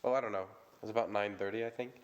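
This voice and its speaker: An actor playing a charming, flattering playboy in an audio drama who turns defensive and self-deprecating when questioned. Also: male voice, on the low side, rapid-fire, dull audio, slight background noise, close to an everyday voice. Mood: wistful. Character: charming, self-pitying young male playboy suitor. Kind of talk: vintage-style radio drama acting.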